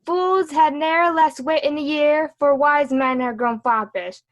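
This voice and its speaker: A woman's voice: sits high, measured pace, muffled recording. A woman playing a witty, cheeky court jester in a Shakespearean reading, with a mocking, sing-song delivery.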